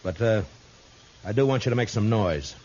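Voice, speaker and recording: An actor playing a calm, stern, world-weary frontier marshal with a terse, dry delivery, in 1950s radio drama style. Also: male voice, lowish voice, normal speed. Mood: steady, commanding. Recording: scratchy, muffled 1950s radio recording.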